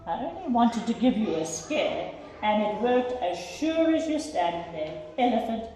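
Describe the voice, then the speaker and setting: A woman's voice: on the high side, sing-song, normal speed, dark tone. A woman voicing a small, cheeky male mouse in a rhyming storybook, teasing and mock-stern. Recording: echoey room.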